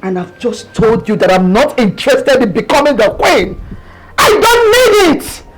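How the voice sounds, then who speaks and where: A woman's voice: high voice, sing-song, moderate pace, slightly rough, soft background music, exaggerated voice, poor audio. A sobbing, pleading royal mother. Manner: tearful, pleading, repeats herself. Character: distraught royal mother, fearful for her only son. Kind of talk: melodramatic film acting.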